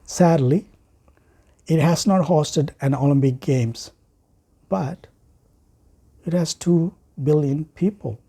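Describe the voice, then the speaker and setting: Male orator, Sri Lankan accent, very mellow dark tone, formal and deliberate. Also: on the high side, creaky, husky. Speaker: writer and speaker. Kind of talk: prepared speech.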